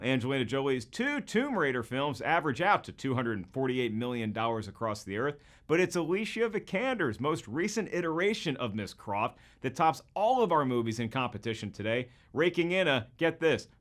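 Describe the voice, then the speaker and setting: American man hosting with very animated pitch. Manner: jokey, emphatic presenter delivery. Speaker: host. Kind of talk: scripted comedic video-essay narration.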